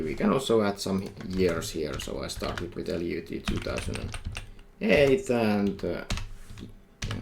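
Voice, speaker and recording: A middle-aged man with a Finnish accent, a university lecturer talking through a coding tutorial while he types, thinking aloud in halting phrases. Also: deep voice, gravelly. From Finland. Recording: faint noise with keyboard typing.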